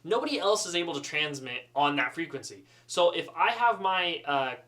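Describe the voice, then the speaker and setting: A man telling a casual, humorous story in an animated, chatty, self-deprecating way. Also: a little high, moderate pace. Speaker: student pilot storyteller.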